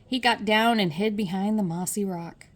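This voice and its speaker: An older woman reading a children's story aloud as a storyteller, measured and expressive. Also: mid-range voice.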